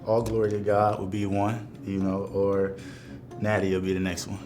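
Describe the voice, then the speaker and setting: A man's voice: on the low side, monotone, moderate pace, a little mellow. A young man, a college basketball player, giving casual answers to fan questions with music behind him. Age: young adult.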